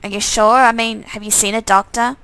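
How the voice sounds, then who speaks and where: A woman's voice: a little high, steady tone, brisk, bright, forceful tone, clear, resonant tone, no room sound, poor audio. A woman voicing a worried, caring father in a visual novel, earnest and pressing. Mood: earnest concern.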